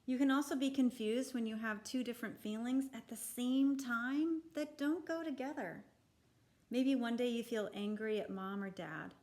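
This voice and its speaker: A woman reading a children's picture book aloud in a deliberate, expressive, instructive way for young listeners. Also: a little high.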